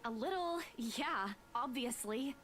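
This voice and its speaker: A woman's voice: high voice, expressive, takes it slowly, a bit bright, full character voice. A woman playing a stubborn, pouty young female assistant in a visual novel, with a sulky, protesting manner. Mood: sulky, resigned.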